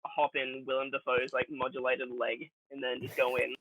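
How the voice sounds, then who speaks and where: A man co-hosting a casual comedy podcast, telling absurd jokes in a rambling, halting way. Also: mid-range voice, monotone, fairly bright tone, no echo, low-quality recording. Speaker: podcast co-host.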